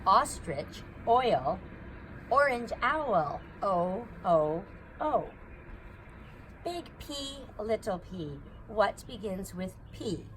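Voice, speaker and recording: A woman's voice: medium pitch, big pitch swings, extremely slow, fairly bright tone. A woman hosting a children's storytime, reading a picture book aloud outdoors in a sing-song, repetitive way. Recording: noisy outdoor ambience.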